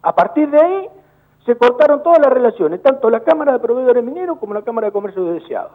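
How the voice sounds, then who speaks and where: A man's voice: very high-pitched, very animated. Indignant Argentine man on a tinny phone line. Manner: indignant, repeats words in rapid bursts. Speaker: business chamber director on a call. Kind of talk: heated phone-in interview. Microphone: telephone.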